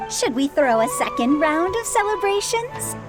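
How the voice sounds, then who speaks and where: A woman voicing an excitable, adoring young girl in an anime game, bubbly and gushing. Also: very high voice, normal speed. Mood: excited. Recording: loud game music behind.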